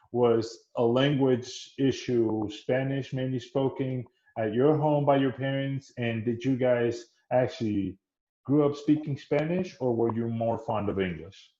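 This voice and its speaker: A man's voice: mid-range voice, mellow, lo-fi sound. Earnest male podcast host, echoey room. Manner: formal, earnest interviewer who repeats himself. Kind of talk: interview podcast.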